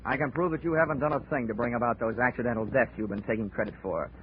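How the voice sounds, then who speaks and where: A man's voice: medium pitch, fast, slight background noise, close to an everyday voice. A man playing a wry hard-boiled investigator. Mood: tough. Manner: dry, wisecracking narration and blunt tough-guy talk. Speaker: narrator and lead. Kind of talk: 1940s radio acting. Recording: tinny old radio broadcast with music.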